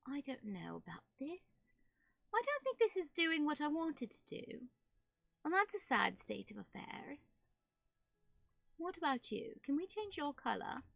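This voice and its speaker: A woman's voice: fairly high pitch, vocal fry, no room sound. A woman with a put-on British accent gives casual, playful game commentary, chatting to herself and viewers in affectedly genteel phrases. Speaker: streamer playing a building game for viewers. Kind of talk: casual live game commentary, decorating rooms.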